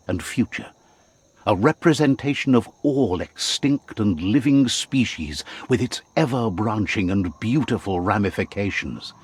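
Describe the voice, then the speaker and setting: A man playing a wry, philosophical narrator of an animal fable video game, telling it in a theatrical, measured, whimsical storybook style. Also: fairly low pitch, breathy edge, full character voice. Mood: grave, wondering.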